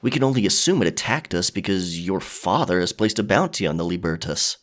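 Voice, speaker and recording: An actor playing a teasing, self-assured pirate sailor in an audio drama. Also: male voice, low-pitched, expressive, fairly quick. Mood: matter-of-fact.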